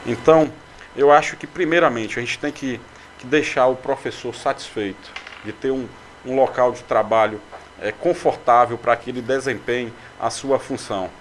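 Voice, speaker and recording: Man, Brazilian, councilman, halting speech, mid pitch, faint background noise. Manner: hesitant, many fillers and restarts. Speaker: city councilman and mayoral candidate. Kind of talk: radio interview answers. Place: local radio studio.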